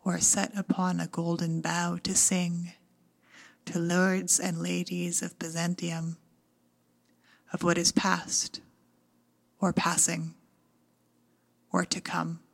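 A woman reciting poetry with measured, deliberate phrasing. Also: on the low side, completely flat delivery, gravelly. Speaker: poetry reader.

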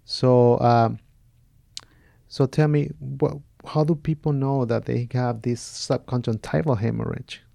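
Easygoing male podcast host, gravelly. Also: mid-range voice, fairly even intonation, measured pace, mellow, dry recording, studio quality. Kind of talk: podcast interview.

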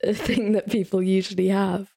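A woman's voice: medium pitch, little pitch movement, normal speed, gravelly, mellow, slightly rough. A woman in her early twenties with a British accent, chatting informally and telling stories as a podcast guest. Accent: British English. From England, raised partly in Italy and Saudi Arabia. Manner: chatty, informal, often says "like". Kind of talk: casual conversational storytelling.

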